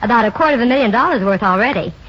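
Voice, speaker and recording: High, animated woman radio reporter. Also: very fast. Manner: polished, cheery promotional delivery. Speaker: fashion reporter in radio sponsor segment. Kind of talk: scripted 1940s radio advertising chat. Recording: muffled 1940s radio broadcast, faint noise.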